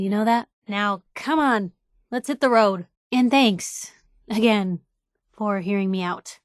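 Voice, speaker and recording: Woman acting as young female game companion, feisty reporter, higher voice, sardonic, guarded yet loyal, emphatic, close dry sound. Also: no echo, close to an everyday voice. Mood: grateful, warm. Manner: sardonic, emphatic, hesitant when vulnerable. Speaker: video game companion character. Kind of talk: naturalistic game dialogue acting.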